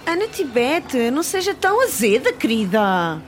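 A society lady in a comic radio soap, gushing and affected in European Portuguese. Her voice is very high and lively in pitch. The recording is heavily noisy. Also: normal speed. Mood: gushing, sociable. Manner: affected, cooing, effusive. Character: gushing, excitable society woman at a house party.

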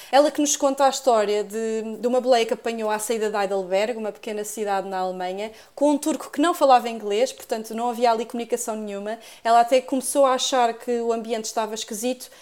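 A woman's voice: fairly high pitch, darkish tone. A woman with a European Portuguese accent, co-hosting a podcast and summarizing stories in an explanatory way. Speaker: podcast co-host. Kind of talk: scripted podcast narration.

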